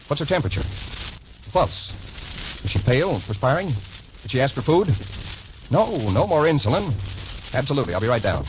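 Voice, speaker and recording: Deep-voiced dedicated young doctor, old radio. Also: male voice, background noise. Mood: brisk, urgent. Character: dedicated young male hospital doctor, earnest, torn, commanding at work. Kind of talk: 1940s radio drama acting. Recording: dull, lo-fi 1940s radio recording with music.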